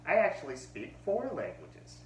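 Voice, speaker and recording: A man reads the part of a friendly waitress in a children's storybook, voiced in a gentle, lively read-aloud lilt. The voice sounds set back from the microphone in an echoey room. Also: fairly high pitch, moderate pace. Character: friendly adult waitress, woman from abroad. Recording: roomy echo, far from the mic, faint hum.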